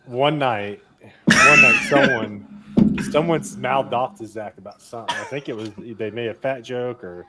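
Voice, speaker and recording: A man's voice: medium pitch, wildly swooping pitch, a little slow, a crowd in the background. A man co-hosting a podcast who tells stories with lots of swearing and laughter in casual banter. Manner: swearing, laughing anecdote teller. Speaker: podcast co-host.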